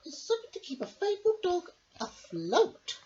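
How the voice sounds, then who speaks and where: An eccentric, practical lady put on by a woman narrator declaims cheerily in a very high, swooping sing-song. It is a storybook character voice, exaggerated and eager. The home recording is muffled and hissy. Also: wildly swooping pitch, normal speed, dark tone, heavily acted. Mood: cheery, decisive.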